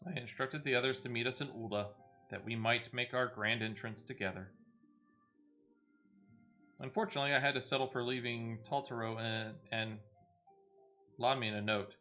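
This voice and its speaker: A man voices a composed fantasy game companion, courteous and formal. The phrasing is old-fashioned, the tone bright, at a medium pitch. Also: normal speed, long pauses, faint music behind, close to an everyday voice. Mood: earnest, wry. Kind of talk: reading game dialogue in character.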